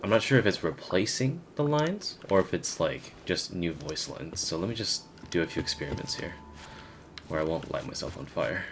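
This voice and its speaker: A man's voice: low voice, animated, moderate pace. A man giving casual gameplay commentary as a video creator, thinking aloud with hesitant phrasing. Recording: noticeable background noise.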